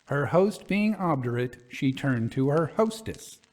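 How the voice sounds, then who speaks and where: A man's voice: on the high side, lively intonation, a little slow, a little mellow. A man hosting and narrating a story in a scripted, dramatic storytelling style. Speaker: host and storyteller.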